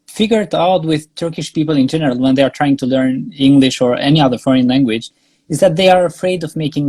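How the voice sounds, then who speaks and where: A young man with an Albanian accent chats as an interview guest in English, his voice sitting a little high. He speaks haltingly, with many "uh"s, "you know"s and restarts. The sound is dry and close. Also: darkish tone, no room sound. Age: young adult. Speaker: guest, graduate student. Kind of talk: casual interview.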